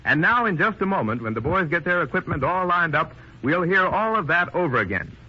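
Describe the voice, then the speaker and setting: A man's voice: on the high side, big pitch swings, brisk, pushed and bright, slightly rough. A man presenting a radio demonstration in a formal, showman-like 1930s announcing style. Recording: dull lo-fi 1930s radio recording.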